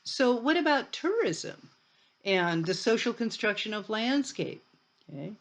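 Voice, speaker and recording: A woman's voice: fairly high pitch, sing-song, measured pace. A middle-aged woman from Ireland with an Irish accent, giving a conversational university lecture full of restarts and asides. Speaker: college lecturer.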